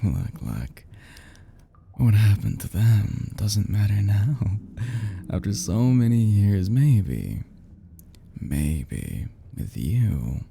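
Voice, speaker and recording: Guy playing desperate yandere kidnapper in asmr roleplay, very dark mellow voice, gravelly, stammers a lot, some hiss. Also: low voice, heavily acted. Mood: unhinged, infatuated. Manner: halting, stammering, breathy nervous chuckles, often dropping to whispers. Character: obsessive lovesick male kidnapper, desperate and unstable. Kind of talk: intimate audio roleplay, ASMR acting.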